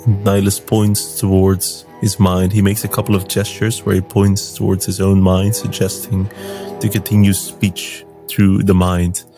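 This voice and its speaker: Low, gravelly, mellow male player. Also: little pitch movement, unhurried, over music. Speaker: tabletop game player. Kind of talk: describing his character's actions in third person.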